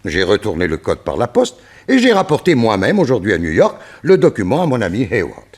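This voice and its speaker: A man playing a grave, troubled gentleman in a detective radio play, telling his account in a formal, theatrical way. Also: lowish voice, wildly swooping pitch, brisk, husky, full character voice. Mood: earnest. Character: grave, troubled gentleman recounting a strange mishap.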